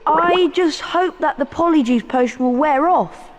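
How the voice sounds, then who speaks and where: A woman's voice: sits high, measured pace, long reverb tail. A woman playing a young, plucky but wary schoolboy wizard in a video game.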